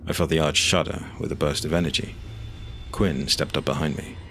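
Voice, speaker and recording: A man narrating a scripted fantasy audio drama in a measured, solemn way, over faint noise and ambience. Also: very deep voice, fairly even intonation, fairly bright tone.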